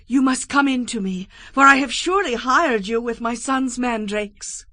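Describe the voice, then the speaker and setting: A proud, yearning wife and mother in an audio scripture drama. Her voice is high and piercingly bright. She declaims with open emotion. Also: moderate pace, no room sound, studio quality. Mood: insistent.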